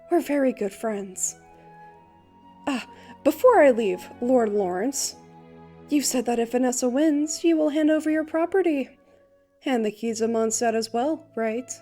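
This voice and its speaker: Boyish bard god for a manga dub, gentle and playful, lyrical, mellow and lightly high female actor. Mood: warm, playful. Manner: lyrical, poised storytelling. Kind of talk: theatrical fan manga dub narration.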